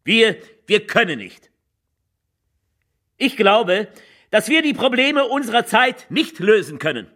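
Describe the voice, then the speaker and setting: Husky guy a defeatist politician giving a big rhetorical speech, radio play, really dry recording. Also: high voice, very animated, a bit bright, dry recording, heavily acted. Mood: strained, desperate. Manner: declamatory, repeating phrases with rhetorical pauses. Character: male political orator delivering a bleak, defeatist collage speech. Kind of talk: theatrical political oratory, radio play monologue.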